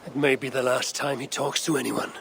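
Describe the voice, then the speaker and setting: An actor playing a hardened Viking leader, gravelly, blunt and commanding, in a video game. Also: male voice, mid-range voice, little pitch movement, fairly quick, fairly bright tone, a little hiss or hum. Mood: grim, weary. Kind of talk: dramatic video game acting.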